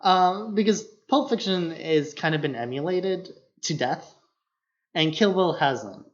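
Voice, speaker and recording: A man's voice: on the high side, a little slow, muffled recording. A man co-hosting a podcast, chatting casually about films with informal, loosely phrased opinions. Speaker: podcast co-host. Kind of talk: casual film chat.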